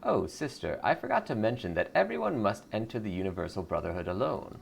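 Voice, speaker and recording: A man's voice: fairly low pitch, big pitch swings, fairly quick, creaky, a little hiss or hum, heavily acted. A man voicing a blissful, eager cult greeter in a video game, sweetly pious and salesy. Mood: gently insistent.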